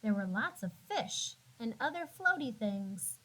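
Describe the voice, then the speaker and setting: A woman with a fairly high voice reads a picture book aloud for young children. She goes very slowly, stretching words out and giving the narration dramatic, teacherly expression. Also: sing-song, bright, forceful tone, rough recording. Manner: dramatic, drawn-out words and sound effects for young listeners. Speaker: classroom teacher reading aloud.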